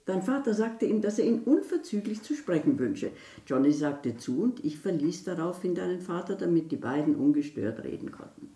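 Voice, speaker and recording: A woman's voice: lowish voice, animated, moderate pace, dark tone, echoey room, recorded from a distance. An actress playing a worldly older woman, a novelist and affable family friend, chatting in a radio drama. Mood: confiding. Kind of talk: German radio drama acting.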